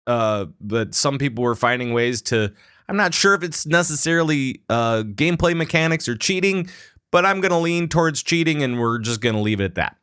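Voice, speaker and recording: A man's voice: mid-range voice, animated, a little fast, fairly bright tone, clean tone, no room sound, clean studio sound. A man hosting a casual gaming podcast, joking playfully and repeating phrases for emphasis. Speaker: podcast host.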